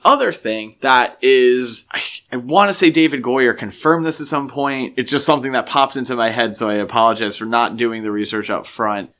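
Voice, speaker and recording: Male podcast co-host, mid-pitched, chatting casually about films and thinking out loud. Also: normal speed. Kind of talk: casual film discussion.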